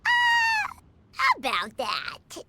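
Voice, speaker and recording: A woman's voice: very high-pitched, wildly swooping pitch, very slow, heavy background noise, exaggerated voice. A woman playing a small, cheerful, childlike fairy companion in a video game, eager and talkative. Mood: flustered. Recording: music playing behind the voice. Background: game music.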